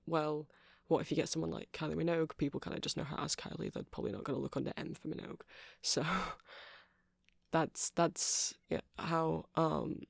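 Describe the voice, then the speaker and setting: Nerdy vampire shop clerk ASMR performer, gravelly fry, dry intimate sound, eager but unsure, rambles and apologises. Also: medium pitch, darkish tone, no echo, close to an everyday voice. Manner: hesitant, rambling, self-deprecating, nervous little laughs. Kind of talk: ASMR roleplay.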